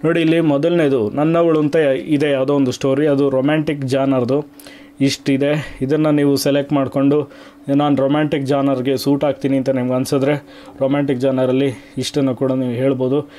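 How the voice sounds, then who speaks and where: A man's voice: medium pitch, level tone, a little fast, warm tone. A man from Karnataka hosting an online video, explaining things to viewers step by step in a casual, conversational way.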